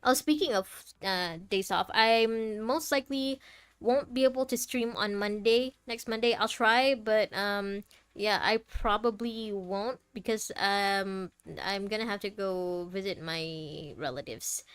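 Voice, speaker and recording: A woman's voice: mid-range voice, measured pace, clear, resonant tone, no room sound. A woman livestreaming a game, chatting casually as she reacts to the story and to her viewers. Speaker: game streamer. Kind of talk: casual livestream commentary over gameplay.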